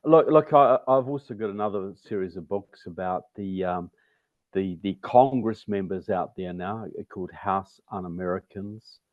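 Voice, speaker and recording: A man's voice: low-pitched, normal speed, no echo. A man with a New Zealand accent giving conversational commentary as an interview guest. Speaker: interview guest, author and political activist. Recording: tinny, narrow phone-like call audio.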